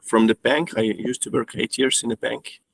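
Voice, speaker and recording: A man's voice: medium pitch, fairly even intonation, normal speed, rough recording. A man, speaking as a guest in a casual interview, hesitant with frequent restarts. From Austria. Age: 30s. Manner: hesitant, restarts often, non-native phrasing. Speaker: guest trading student.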